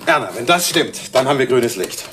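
An actor playing a sly, bossy private detective in a 1970s radio crime play. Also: male voice, medium pitch, animated, brisk, heavy background noise, natural voice. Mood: scheming.

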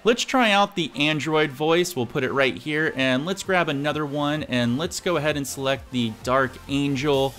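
An energetic man in his thirties presenting an upbeat scripted tech tutorial, addressing viewers directly. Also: a little high, normal speed, few pauses. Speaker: video host. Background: music.

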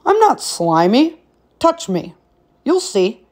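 A woman's voice: fairly high pitch, big pitch swings, takes it slowly, darkish tone, voice pushed up, exaggerated voice. A woman voicing a sly, teasing male frog in a storybook, with a goading, pert manner.

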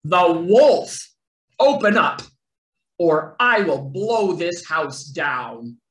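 A woman voicing a hungry, bossy male wolf in a picture-book read-aloud, with a loud, drawn-out, menacing delivery. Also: fairly low pitch, animated. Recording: roomy echo.

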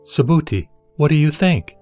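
A man playing the Buddha, a wise, composed spiritual teacher reciting sutra teachings in a solemn, measured, instructive manner. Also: fairly high pitch, lively intonation, dark tone, faint music behind, lightly acted. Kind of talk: scripted sutra audio drama recitation.

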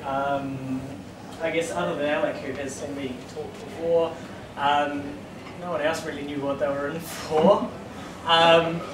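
A man's voice: a little high, noisy background. A man in his thirties with a New Zealand accent, giving a casual, self-deprecating talk to a meetup in a small brick bar room, heard from a far camera. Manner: self-deprecating, joking, many ums. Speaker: statistics lecturer giving a talk. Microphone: far camera, no mic. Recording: echoey room from far off, crowd.

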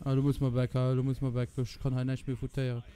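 Mellow, clear-voiced Luxembourgish gamer guy. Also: medium pitch. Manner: repeats words in quick bursts, excitable. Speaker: gamer commentating own play. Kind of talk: casual gameplay commentary.

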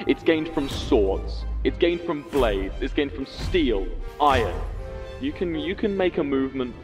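A man giving a dramatic roleplay monologue in a deliberate, emphatic, speech-making manner. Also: mid-range voice, very animated, soft background music.

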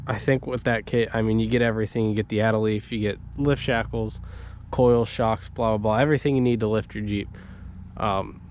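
A man's voice: mid-range voice, a little mellow, slight background noise. A young man with a stuffy cold, casually walking through his vehicle build as a vlogger, with lots of ums and likes. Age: young adult. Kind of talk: casual vlog walkthrough. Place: inside a parked vehicle, then outdoors beside it.

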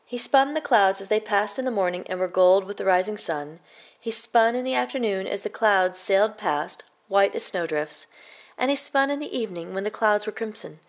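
A woman storyteller reading a children's picture book aloud in a measured, expressive way. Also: medium pitch.

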